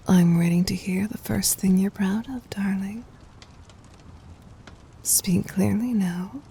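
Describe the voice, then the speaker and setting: A woman voicing a commanding, possessive yet tender demon queen in an ASMR roleplay, soft, husky and often near a whisper, with imperious, teasing delivery. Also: mid-range voice, exaggerated voice. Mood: gently commanding.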